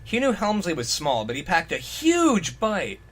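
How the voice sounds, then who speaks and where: Male co-host, highish voice, very lively melody, mock-dramatic narration mixed with casual, sweary asides. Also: a little slow. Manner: jokey, profane. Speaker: co-host reading fan fiction aloud. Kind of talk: mock-dramatic reading with amused casual commentary.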